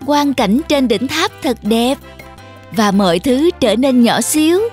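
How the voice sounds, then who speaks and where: A woman storyteller reading a scripted narration for a children's animated tale. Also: high voice, a little slow, soft background music.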